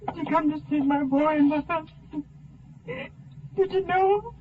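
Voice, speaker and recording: A grieving mother, very high in pitch and close to tears. She is dazed and heartbroken, in the manner of 1950s radio drama. The recording is tinny and old. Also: unhurried, background noise. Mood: grief-stricken. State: tearful, crying.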